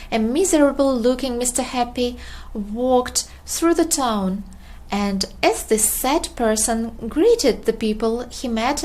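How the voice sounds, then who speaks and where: Slow, high-pitched, animated woman storyteller. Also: rough recording. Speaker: storybook narrator. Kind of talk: expressive read-aloud for young children.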